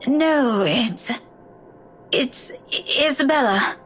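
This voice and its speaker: An actress in a story game playing a weary, distraught woman who speaks haltingly, with sighs. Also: high voice, lively intonation, fairly bright tone, a little hiss or hum, heavily acted. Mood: grave, weary. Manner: halting, sighing, faltering speech. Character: weary, distraught woman keeping hospital vigil over loved one. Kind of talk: dramatic game dialogue.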